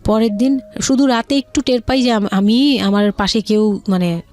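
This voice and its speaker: A woman in her thirties with a Bangladeshi accent, telling her personal story in conversation as an interview guest, haltingly and circling back. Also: medium pitch, darkish tone, faint music behind.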